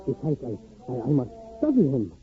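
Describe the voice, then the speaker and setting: A man's voice: medium pitch, huge pitch swings, fairly quick. A man playing a secretive, proud professor in a 1950s radio drama, fussy and hesitant, often stammering. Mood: proud, lecturing. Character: flustered, secretive professor-inventor, proud of his creation. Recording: muffled vintage 1950s radio recording.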